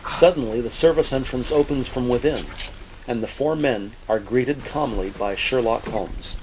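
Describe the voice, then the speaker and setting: A man narrating a scripted audio drama in a measured, dramatic manner. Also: mid-range voice, slight background noise, low-quality recording.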